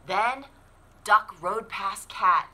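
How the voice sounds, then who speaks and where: A woman in her forties reading a picture book aloud to children in an expressive, deliberate storytelling way. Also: mid-range voice, slight background noise. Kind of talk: animated children's read-aloud.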